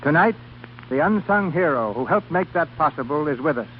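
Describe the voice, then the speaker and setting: A man's voice: a little high, expressive, brisk, slight background noise. A man giving solemn, scripted radio narration as an announcer. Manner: solemn, formal. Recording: muffled 1930s radio broadcast.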